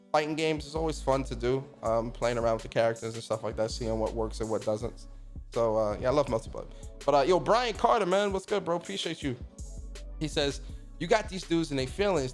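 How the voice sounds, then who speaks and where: A man in his thirties hosting a casual gaming livestream, reading out viewer comments and giving loose, profane shout-outs to the chat. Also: medium pitch. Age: 30s. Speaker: streamer and podcast host.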